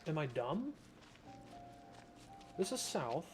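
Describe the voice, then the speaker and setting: A man's voice: a little high, very animated, slow, darkish tone. A man doing casual, rambling game commentary as a streamer, often self-deprecating. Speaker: gaming streamer. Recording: game music behind the voice.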